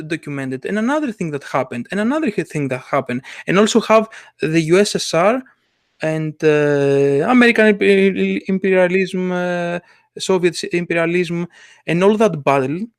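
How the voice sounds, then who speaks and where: Halting man, dry sound. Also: sits high, lively intonation, no room sound. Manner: halting, many restarts and fillers. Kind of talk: casual chat.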